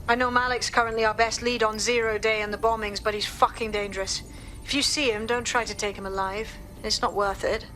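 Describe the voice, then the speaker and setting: Resistance fighter, video game, wary, blunt, urgent, raised pitch, soft music behind. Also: female voice, fairly even intonation, brisk. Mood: urgent warning. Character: tough, wary female resistance operative.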